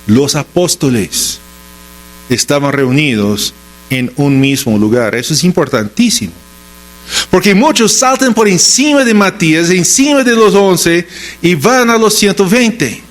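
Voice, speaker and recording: Higher-voiced American man preaching. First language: English. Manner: rhetorical questions answered with repeated, emphatic short phrases. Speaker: pastor preaching. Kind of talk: Sunday sermon with scripture reading and storytelling.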